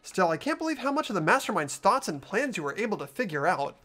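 Man playing an earnest teenage boy, lively pitch. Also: quick pace, soft background music, heavily acted. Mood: amazed, unsure. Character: earnest, anxious teenage boy, a hesitant student. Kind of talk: fan voiced visual novel playthrough.

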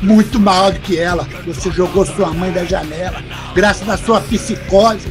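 Man acting a brutal, high-voiced raging father. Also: measured pace, over music. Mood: bitter, angry. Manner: aggressive, cursing, barking orders. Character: brutal, threatening, bigoted middle-aged father. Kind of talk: audio drama acting.

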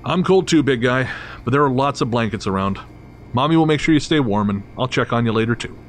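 A man's voice: medium pitch, animated, fairly quick, slight background noise, natural voice. A man playing a sardonic, bitter son, narrating a first-person horror audio drama in a wry, brooding way. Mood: brooding, reflective. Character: Mike, bitter, sardonic middle-aged son back home. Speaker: narrator and lead actor.

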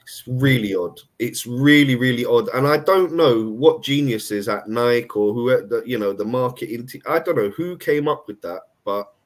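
A man, croaky from a cold, talking earnestly as a guest on a video call. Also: mid-range voice, moderate pace, few pauses. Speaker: guest panelist. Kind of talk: casual chat on video call.

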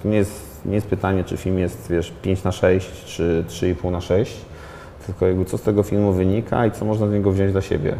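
A man in his thirties, a film director, giving a reflective interview in a studio set, thinking aloud with many pauses and restarts. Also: low voice, level tone, warm tone, slight background noise. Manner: thoughtful, hesitant, many fillers and restarts. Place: studio set in a large glass-walled building.

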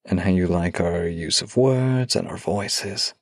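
A man with a low, gravelly, husky voice talks slowly and tenderly into a close microphone. His tone is teasing and affectionate. The sound is dry and intimate, with no room around it. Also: no room sound. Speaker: voice actor. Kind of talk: intimate audio roleplay.

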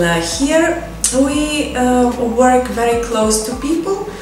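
A woman's voice: high voice, darkish tone. A woman with a Bulgarian accent, a local official speaking hesitantly with many fillers. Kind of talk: testimonial to camera. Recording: very echoey room, distant mic, faint music.

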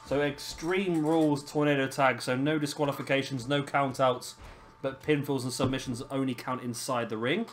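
A hoarse man, hosting and casually commentating a wrestling video game. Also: mid-range voice, fairly even intonation, moderate pace. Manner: chatty, laughing, swears casually. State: hoarse, lost his voice. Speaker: host of a gaming channel.

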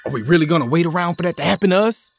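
A man's voice: fairly high pitch, very fast, creaky, faint music behind. An actor playing a brash, blunt teenage boy who mocks his classmates in an audio drama. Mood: mocking. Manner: blunt, mocking.

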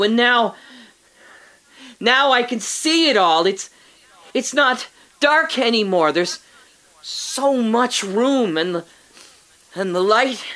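An actor playing a dying father who speaks hushed, halting, awed last words to his family in an audio drama. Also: extremely high pitch, heavily acted.

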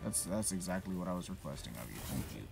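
Male gamer, low gravelly voice, swinging inflection, rambling self-mocking commentary over game music. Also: lively intonation, fast talker. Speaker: gaming channel host. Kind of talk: casual gameplay commentary.

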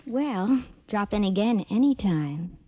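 An American actress playing a naive, scatterbrained young gangster's moll in a 1950s radio drama, chatty and guileless. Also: female voice, medium pitch, expressive, slow, very noisy. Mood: breezy.

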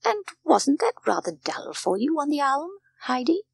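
A woman voicing a kindly, good-humoured older male doctor in a storybook, with a gentle, measured manner. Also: high voice, big pitch swings, vocal fry, no room sound, voice pushed up, full character voice. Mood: kindly, soothing. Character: kindly, jovial grey-haired male doctor, calm and reassuring.

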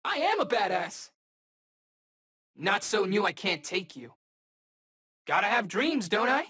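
A cocky teenage male superhero from a fighting game. His delivery swings widely in pitch, with a husky edge to the voice. He tosses out slangy wisecracks at an unhurried pace. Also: sing-song, bright, forceful tone, heavily acted. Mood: cocky, teasing. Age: young adult. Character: cocky, wisecracking eighteen-year-old male armored superhero. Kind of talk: video game voice acting, short battle quips.

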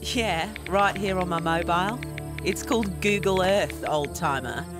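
A woman's voice: on the low side, a little slow, music in the background, natural voice. A woman playing a sly, mocking treasure hunter. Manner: sly, teasing. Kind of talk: fan audio drama acting.

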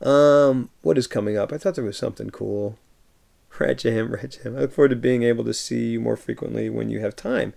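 Thirties man, deeply gravelly, easygoing streamer voice answering chat from his home room on a webcam mic. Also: steady tone, a little fast. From United States. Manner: reads viewer messages aloud and replies warmly, often laughing. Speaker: livestreamer reading chat. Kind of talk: casual chat. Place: home room with shelves of films.

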